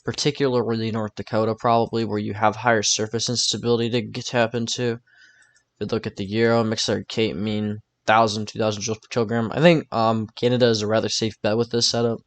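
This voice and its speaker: A man's voice: on the low side, level tone, fast. A man talking informally through a weather forecast over maps, thinking aloud in a casual, tentative way. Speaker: weather forecaster presenting his own video.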